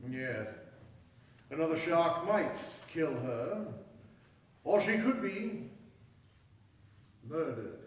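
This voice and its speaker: A man playing a wry, grudgingly obliging gentleman in a staged radio play, dry and deliberate, recorded in an echoey hall from far off. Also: a little high, expressive. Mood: measured.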